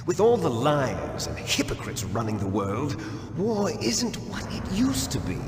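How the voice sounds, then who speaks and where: A man playing a bitter, fanatical villainous soldier in a video game, with theatrical, drawn-out declamation and grandiose, taunting menace. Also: a little high, full character voice. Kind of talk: dramatic video game voice acting. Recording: echoey hall reverb with music behind.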